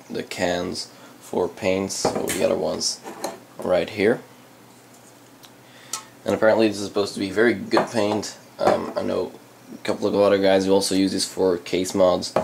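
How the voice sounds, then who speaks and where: Dutch native speaker, male, very low voice, halting hobbyist unboxing talk. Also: gravelly, darkish tone, slight background noise. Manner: halting, many ums and uhs. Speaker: channel host reviewing PC parts. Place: indoors at a desk, hands on camera.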